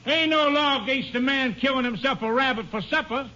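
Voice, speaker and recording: An actor playing a hard-of-hearing ranch hand with a drawl, in a 1950s radio drama, defensive and folksy. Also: male voice, very high-pitched, a little fast, sharp tone, a little hiss or hum. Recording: dull lo-fi old radio broadcast.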